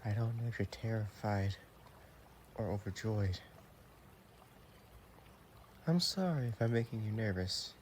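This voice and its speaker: A man's voice: fairly low pitch, a little slow, long pauses, a little hiss or hum, natural voice. A man acting as a possessive, romantic vampire boyfriend in an intimate audio roleplay, tender and teasing as he talks to the listener.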